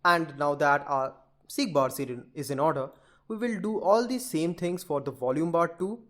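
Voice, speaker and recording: A man's voice: on the high side, animated, normal speed, a bit bright. A man giving an informal screen-share coding tutorial, rambling and chatty as he talks straight to his viewers. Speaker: programming tutorial presenter.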